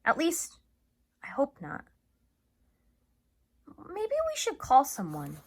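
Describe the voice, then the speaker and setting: Woman reading as a worried, gentle father, raised pitch. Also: huge pitch swings, takes it slowly, heavily acted. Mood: worried, resigned. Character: worried, gentle working father of a young girl. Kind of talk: storybook read-aloud.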